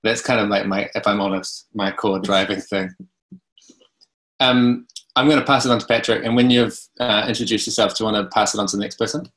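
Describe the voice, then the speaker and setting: A man's voice: lowish voice, fairly even intonation, a little fast, a bit bright, roomy sound. A man with a New Zealand accent introducing himself on a video call in a casual, self-deprecating way. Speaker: presenter.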